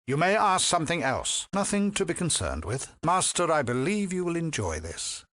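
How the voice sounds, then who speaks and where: An actor playing a sanctimonious, menacing cult high priest in a video game, preachy, grandiose and condescending. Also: male voice, huge pitch swings, moderate pace, a bit bright, no room sound, clean studio sound. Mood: dismissive. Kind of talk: theatrical video game voice acting.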